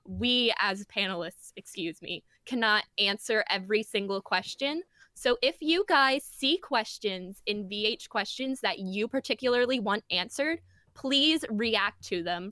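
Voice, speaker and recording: Girl running an online panel, bright forward voice, dry close sound, chatty intro stuff. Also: mid-range voice, no echo. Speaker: panel host.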